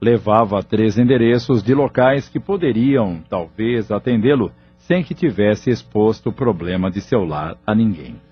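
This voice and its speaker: A man's voice: lowish voice, animated, unhurried, hardly any pauses, breathy edge. A man reading scripted narration for an audio novel as its narrator. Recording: muffled lo-fi audio, background music.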